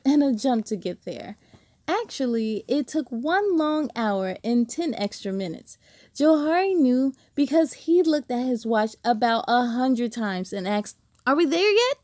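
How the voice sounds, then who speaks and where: Woman, very high voice, children's read-aloud narration, expressive, dramatic emphasis, dry close sound. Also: dry recording. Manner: animated storytelling with dramatic emphasis. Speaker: storybook reader.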